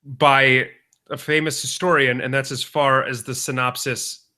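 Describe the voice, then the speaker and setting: A young man co-hosting a podcast, rambling through deadpan jokes in casual banter. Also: medium pitch, unhurried. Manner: deadpan joking, rambling with restarts. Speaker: podcast co-host.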